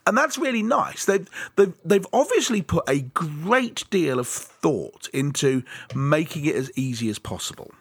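A man co-hosting a casual podcast chat, self-deprecating and quick to laugh, with halting restarts. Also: fairly high pitch, sing-song, slightly rough, dry recording. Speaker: podcast co-host.

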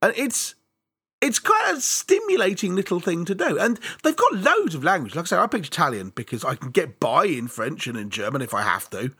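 A man with a bright, lively voice that swings up and down as he chats, stumbling over restarts and laughing at himself. He sits close to a dry mic. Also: high-pitched, husky, dry recording. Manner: chatty, self-deprecating, laughs often, halting restarts. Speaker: podcast co-host. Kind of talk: casual chat.

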